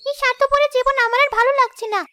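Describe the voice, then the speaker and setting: A woman's voice: very high-pitched, fairly even intonation, racing, pushed and bright, no echo. A woman voicing an earnest young schoolgirl in a cartoon story, with an emotive, scripted delivery. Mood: earnest, pleading. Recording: music behind the voice.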